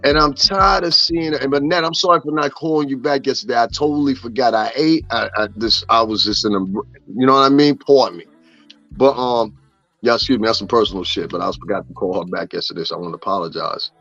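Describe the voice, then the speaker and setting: A man's voice: medium pitch, fairly quick, bright, forceful tone, dull audio, soft background music. A man ranting profanely in casual gossip commentary, often asking if his listeners understand what he's saying. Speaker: commentary streamer.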